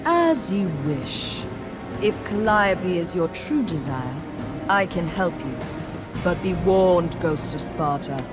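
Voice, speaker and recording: An actress playing a regal, coldly composed queen goddess of the underworld in a video game, speaking in an imperious, measured, ominous manner. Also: fairly low pitch, expressive, music in the background. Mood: ominous warning.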